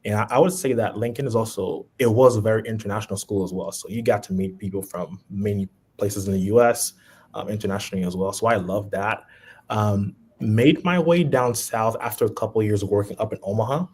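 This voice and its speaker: Haitian guy, kinda low and husky, easygoing chat with lots of ums. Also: fairly quick. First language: Haitian Creole. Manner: relaxed, with frequent ums. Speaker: podcast guest. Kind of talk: casual chat.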